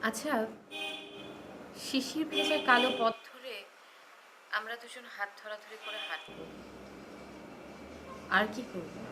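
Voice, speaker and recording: Dreamy young Bangladeshi romantic. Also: female voice, fairly high pitch, expressive, a little slow, long pauses, noisy background. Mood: teasing, tender. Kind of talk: naturalistic TV drama acting. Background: music.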